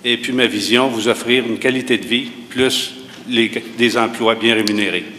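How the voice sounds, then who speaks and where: A man giving a measured opening statement as a municipal candidate, pausing often with fillers. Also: medium pitch, fairly even intonation, sharp tone. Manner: measured, hesitant, many filler pauses. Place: university hall. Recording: reverberant hall with faint noise.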